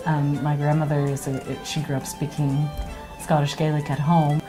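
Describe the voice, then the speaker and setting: Thoughtful low-voiced woman over fiddle music. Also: level tone, moderate pace. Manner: thoughtful, hesitant with many ums. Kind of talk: interview, reflective.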